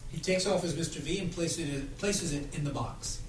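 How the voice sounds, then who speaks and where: A man's voice: on the high side, moderate pace. A man reading stage directions aloud at a script read-through. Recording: echoey room, distant mic, faint noise.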